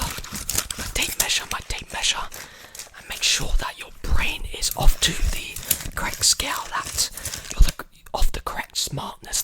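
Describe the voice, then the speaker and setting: Whispering young man doing ASMR roleplay. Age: young adult. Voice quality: breathy, unvoiced whisper. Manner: soothing, repeats phrases slowly. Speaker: ASMR performer playing a robot repair technician. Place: small indoor room with clothes hanging behind. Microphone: large desktop condenser mic, very close.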